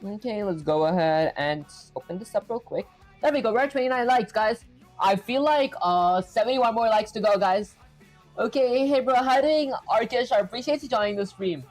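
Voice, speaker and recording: A woman streaming herself programming, chatting casually and thinking out loud with lots of "like" fillers. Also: on the high side, lively intonation, moderate pace, faint music behind. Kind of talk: casual live coding stream.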